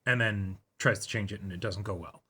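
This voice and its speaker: Bright-voiced man, thinking aloud. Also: lowish voice, brisk, husky. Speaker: co-host of an anime reaction show. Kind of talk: casual conversational discussion.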